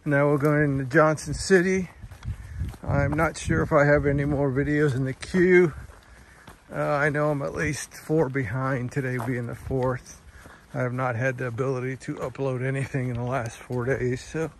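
A man's voice: medium pitch, fairly even intonation, normal speed, a little hiss or hum. A man in his fifties chatting casually while hiking a forest trail, folksy and easygoing, filming on a handheld phone. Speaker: thru-hiker vlogger.

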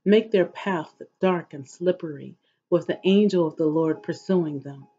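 A middle-aged woman reading scripture aloud in a measured, solemn, devotional way, with a husky voice. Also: fairly low pitch, mellow. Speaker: host of an inspirational reading series. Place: living room at home, indoors. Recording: dull, lo-fi webcam audio.

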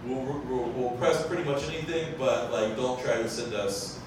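A man's voice: medium pitch, normal speed. Young male plant owner, chatty explainer, roomy far-off bar recording. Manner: chatty, explains with lots of fillers and self-corrections. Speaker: vinyl pressing plant owner speaking at a science cafe. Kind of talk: casual talk to a live audience. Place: large brick-walled bar room. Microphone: handheld mic, filmed from far off. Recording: echoey room, far camera, background noise.

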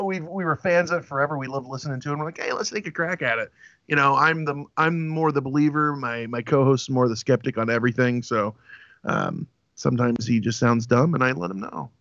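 A man's voice: mid-range voice, fairly bright tone, dry recording. A man guesting on a podcast, talking in a laid-back, rambling way and laughing often. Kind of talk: casual chat. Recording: dry, dull lo-fi call audio.

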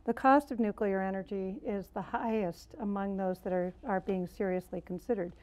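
A woman's voice: medium pitch, steady tone, moderate pace, a little mellow. A woman in her sixties speaking unscripted as an expert on a studio panel, in slightly muffled sound. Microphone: lapel mic.

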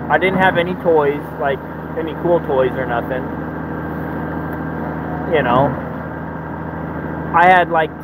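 A man in his thirties vlogging casually from inside his truck cab, talking in a loose, rambling, laid-back way into a phone held at arm's length. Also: high-pitched. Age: 30s. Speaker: truck business owner vlogger. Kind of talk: casual talking-head vlog. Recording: phone audio with engine noise.

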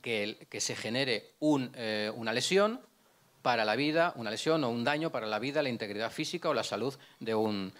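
A middle-aged man speaks into a handheld microphone in a conference room, lecturing at an ordinary pitch. He explains patiently, poses questions and answers them himself, often restarting a phrase before finishing it. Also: fairly bright tone. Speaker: prosecutor giving a conference talk. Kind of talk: lecture with slides.

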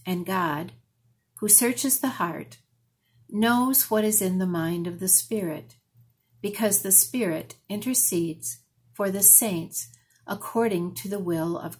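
An older woman reading a scripture passage slowly and carefully at home before a webcam. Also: medium pitch, darkish tone, husky. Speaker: lay reader. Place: home room, indoors.

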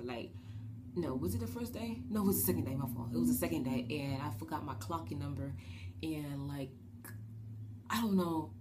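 A young woman from New Jersey with a raspy, slightly shaky voice, rambling through a casual storytime vlog with lots of fillers. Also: low-pitched, noisy background. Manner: rambling and animated, with many fillers and quick gestures. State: shaky voice after a breathing treatment. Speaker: vlogger. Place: small bedroom, indoors. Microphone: webcam at desk distance.

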